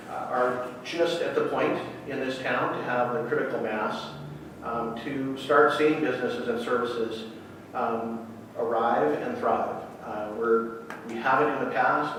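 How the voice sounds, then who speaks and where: A man giving earnest public comment as a local business owner, halting with frequent ums and uhs. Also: medium pitch, slight background noise. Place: council chamber. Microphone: podium mic heard from a distance. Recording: echoey hall, distant mic.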